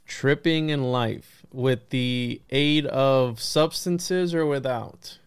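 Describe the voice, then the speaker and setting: A man's voice: mid-range voice, slow, clean tone, dry recording. A man hosting a podcast, talking casually to his listeners and guest. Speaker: podcast host.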